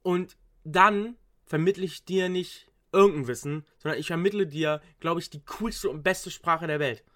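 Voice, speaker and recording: A man's voice: on the high side, moderate pace, few pauses, fairly bright tone. A young man, a university student, chatting casually, rambling with frequent restarts and English slang. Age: young adult. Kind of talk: casual chat.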